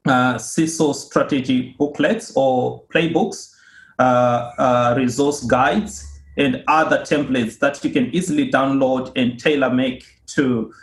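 A man from Zimbabwe, speaking as an interview guest in a mid-pitched voice. He explains things earnestly and at a measured pace, often pausing with a small "uh". The room around him sounds noticeably echoey. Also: steady tone. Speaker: guest, cybersecurity author and institute co-founder. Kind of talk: podcast interview answers.

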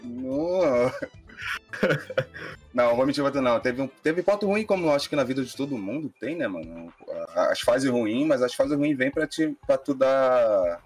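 A man in his thirties from Rio de state, chatting casually as a podcast guest in a relaxed, slangy way full of fillers. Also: medium pitch, normal speed, faint music behind. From Mesquita, Rio de Janeiro state, Brazil. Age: 30s. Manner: relaxed, slangy, laid-back with many fillers. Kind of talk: casual interview chat.

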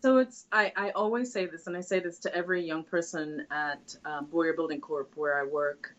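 A woman's voice: low-pitched, fairly even intonation, moderate pace. Earnest woman from Trinidad, dull lo-fi sound. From Trinidad and Tobago. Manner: earnest, reflective, with frequent hesitations. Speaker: guest. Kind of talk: conversational interview answers.

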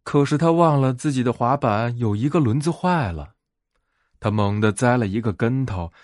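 A man narrating a bedtime fairy tale as a storyteller, in an expressive scripted read. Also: medium pitch, no echo, clean studio sound.

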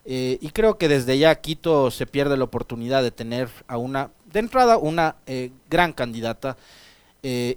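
A man with an Ecuadorian Spanish accent hosting a morning radio interview, formal with filler pauses. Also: mid-range voice, clear, resonant tone, no echo. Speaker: radio host.

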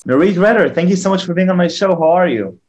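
A man's voice: high voice, animated, fast talker, a little mellow. A man hosting a conversational interview, effusive and often doubling back on his phrases. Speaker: interview show host.

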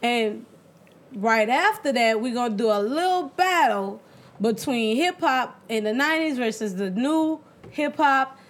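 A woman's voice: on the high side, lively intonation, measured pace. Playful woman hosting a chat show. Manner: playful, teasing, hypes up the show. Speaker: podcast host.

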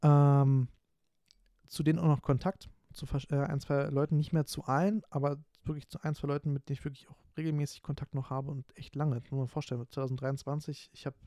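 A man's voice: on the high side, little pitch movement, a little fast, warm tone, no echo, studio quality. A man in his twenties from Berlin hosting a solo podcast, talking casually and rambling with lots of fillers and restarts. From Berlin, Germany. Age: 20s. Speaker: podcast host.

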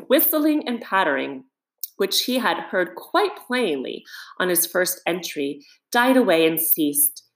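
A woman reading a children's story aloud as expressive scripted narration. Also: lowish voice. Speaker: storybook reader. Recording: roomy, echoing sound.

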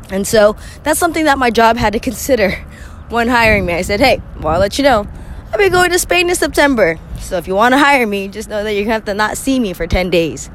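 A young woman hosting her solo podcast, chatting candidly with a scratchy voice from a cold, often clearing her throat and laughing. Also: mid-range voice. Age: young adult. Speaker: podcast host. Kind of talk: casual solo podcast monologue.

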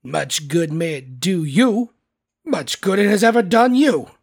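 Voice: medium pitch, unhurried, breathy edge. A narrator putting on a stern, growling old miser. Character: cold, bitter old miser uncle, scornful.

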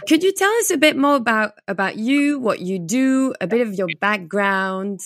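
A woman's voice: on the high side, expressive, moderate pace, fairly bright tone, a little hiss or hum. A woman hosting a podcast who introduces her guest in a formal, welcoming way. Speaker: podcast host.

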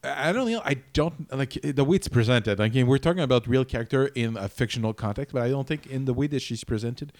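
A man co-hosting a podcast, discussing a miniseries in casual chat, halting and thinking aloud as he goes. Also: mid-range voice, darkish tone. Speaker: podcast co-host.

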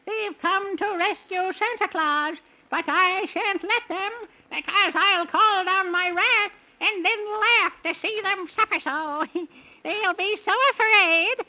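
A woman's voice: very high voice, bright, forceful tone, heavily acted, low-quality recording. A woman playing a wicked witch in 1930s children's radio acting, chanting rhymes in a theatrical, cackling voice. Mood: gleeful menace. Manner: chanting rhymes, drawn-out words. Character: wicked gloating old witch, spiteful and commanding.

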